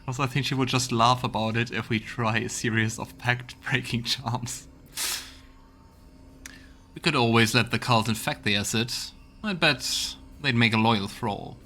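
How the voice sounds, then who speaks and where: A man's voice: mid-range voice, a little slow, sharp tone, slight background noise. A man hosting a let's play, musing aloud in casual game commentary.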